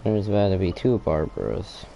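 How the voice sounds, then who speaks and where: Mellow man casually commenting on gameplay. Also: deep voice, moderate pace, gravelly. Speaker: gamer. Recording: faint background noise.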